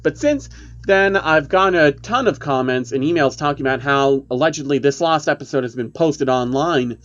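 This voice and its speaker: A man's voice: on the high side, expressive, normal speed, fairly bright tone, dull audio. A man voicing a chatty male YouTuber who explains creepy cartoon myths emphatically, in online video style. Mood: animated explaining.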